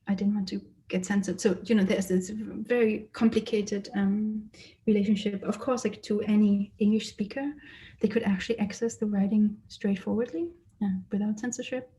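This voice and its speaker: A woman who grew up in Germany, an artist giving a lecture about her exhibition and speaking thoughtfully with frequent pauses and fillers. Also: medium pitch, warm tone, low-quality recording. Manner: hesitant, many fillers and restarts, thoughtful. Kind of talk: lecture presentation. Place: lecture or online presentation.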